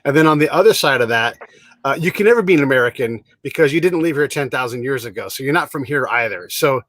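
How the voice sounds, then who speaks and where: A man's voice: mid-range voice, animated, quick pace, fairly bright tone, dry recording. A man hosting an interview, asking probing questions with stumbling restarts and throwing in wry asides. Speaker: podcast host.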